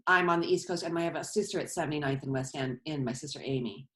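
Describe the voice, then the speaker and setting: A woman's voice: low voice, quick pace, fairly bright tone. A middle-aged woman from Colorado, a stage actress chatting as a guest over a video call from her bedroom, rambling with restarts as she tells stories. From Colorado, USA. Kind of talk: casual interview chat. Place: bedroom at home.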